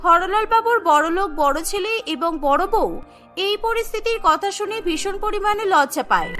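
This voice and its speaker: A woman's voice: very high-pitched, pushed and bright. A woman narrating a scripted cartoon story as its storyteller.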